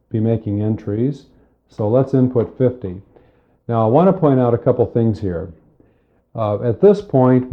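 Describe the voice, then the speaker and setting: A man giving a methodical, step-by-step spoken computer lesson, explaining with pauses, recorded on cassette tape. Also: on the low side, phone-quality sound. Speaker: software tutorial instructor.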